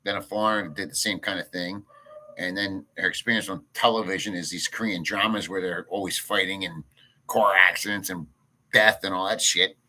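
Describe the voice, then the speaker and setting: Stream host, male, low-ish voice with a sharp forceful edge, crude rambling rant style. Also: fairly even intonation, normal speed, poor audio. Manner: blunt, crude, rambling, reads chat aloud. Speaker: livestream host. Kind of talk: casual ranting livestream chat. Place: home in a rural province.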